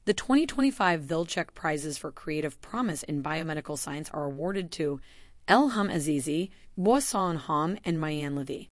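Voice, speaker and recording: A woman with a low, deep voice reads a formal announcement in an even, measured way. The sound is close and dry, with no room around it. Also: no echo. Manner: even, formal read-aloud delivery. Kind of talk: scripted announcement narration.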